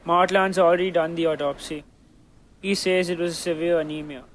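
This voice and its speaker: A man's voice: a little high, steady tone, moderate pace, natural voice. A teenage boy playing a businesslike company aide who brings grim news in a radio drama. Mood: grave. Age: teens, high school student.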